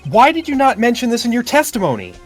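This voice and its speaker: A man's voice: very high voice, huge pitch swings, quick pace, faint music behind, heavily acted. Man playing a theatrical, swooping defense lawyer. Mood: pressing, confident. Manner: theatrical, pointed questioning. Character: confident, needling young male defense lawyer. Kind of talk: improvised video game dubbing.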